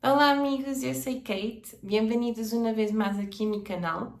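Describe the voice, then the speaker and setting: A woman in her twenties from Portugal, a native Portuguese speaker with a Portuguese accent, talking calmly and steadily to her vlog viewers. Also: on the high side, moderate pace, creaky. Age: 20s. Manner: calm, steady, explanatory. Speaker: language vlogger. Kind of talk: casual personal vlog talk. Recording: roomy echo.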